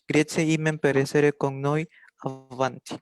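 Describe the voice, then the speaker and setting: A man hosting an online book fair, giving a brisk, formal introduction. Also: a little high, flat delivery, faint music behind. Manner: brisk, announcing. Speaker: host.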